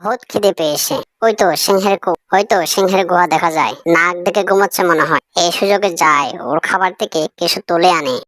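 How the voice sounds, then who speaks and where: A woman's voice: fairly low pitch, normal speed, hardly any pauses, a bit bright, no echo, close to an everyday voice. A woman voicing a sly, cowardly trickster fox in a children's cartoon, with a wheedling, dramatic delivery. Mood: scheming. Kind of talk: animated children's cartoon voice acting.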